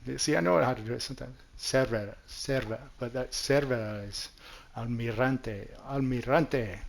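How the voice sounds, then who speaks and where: A man's voice: medium pitch, moderate pace, creaky. A man from New Mexico giving chatty, self-deprecating commentary over his own gameplay as the host of a gaming channel.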